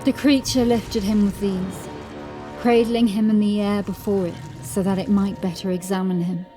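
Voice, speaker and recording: Woman narrating horror over music. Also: mid-range voice. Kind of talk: scripted horror audiobook narration.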